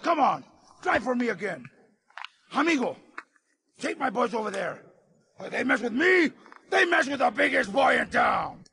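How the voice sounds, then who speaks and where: A swaggering Cuban gang boss from a video game. His voice is very high, bright and gravelly, with a thick rasp. He blusters and boasts in Cuban-accented English peppered with Spanish. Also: very animated, normal speed, long pauses, a little hiss or hum, full character voice. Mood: agitated. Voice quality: raspy, strained, high. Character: hot-headed, macho, swaggering Cuban gang boss, a younger man. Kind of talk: video game cutscene acting.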